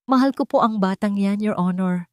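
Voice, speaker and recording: A woman's voice: medium pitch, moderate pace, a little mellow, clean tone, dry recording, clean studio sound, close to an everyday voice. A woman with a Filipino accent voicing a scheming female witness who pleads in feigned fear. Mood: tearful, pleading. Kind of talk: audio storybook character voice.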